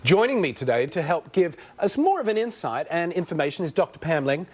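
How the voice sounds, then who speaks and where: A man's voice: a little high, very animated, brisk, slightly rough. A man hosting a scripted TV health segment, leading an interview with upbeat, emphatic questions. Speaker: host.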